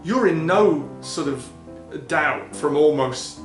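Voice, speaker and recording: A man's voice: on the high side, animated, slow pace, reverberant, soft background music. A man co-hosting a casual film podcast, laughing often and swearing casually as he talks. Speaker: podcast co-host.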